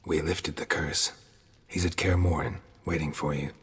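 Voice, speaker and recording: An actor playing a gruff, stoic, weathered monster hunter in a video game, laconic and deadpan, with a gravelly voice. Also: male voice, extremely low pitch, moderate pace, long reverb tail, full character voice. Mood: dry, reassuring. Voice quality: gravelly, husky. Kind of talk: video game dialogue acting.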